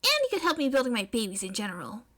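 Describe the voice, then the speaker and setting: A woman plays a teenage girl inventor, blunt and head over heels, in a story reading. Her voice is high and very animated. She states things emphatically, with bubbly, eager frankness. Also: racing, heavily acted. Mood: puzzled, frank. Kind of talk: audiobook-style fan fiction character reading.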